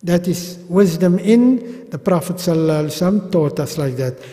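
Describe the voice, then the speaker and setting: A middle-aged South African man teaching a pilgrimage class at a mosque podium, animated and gesturing, with humorous asides. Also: sits high, brisk. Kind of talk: religious class lecture. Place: large mosque hall. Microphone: gooseneck podium mic. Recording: long reverb of a mosque hall.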